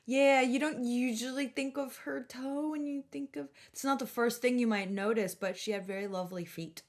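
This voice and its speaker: A woman hosting a casual podcast, talking in an enthusiastic, animated, slangy way with easy giggles and swearing. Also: a little high, normal speed. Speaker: podcast host.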